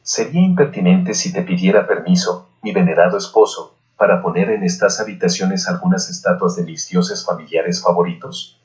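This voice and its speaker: A man voicing a young, homesick Aztec princess bride who pleads softly and deferentially, as in an audiobook. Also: low voice, normal speed, dull audio, close to an everyday voice. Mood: melancholy pleading.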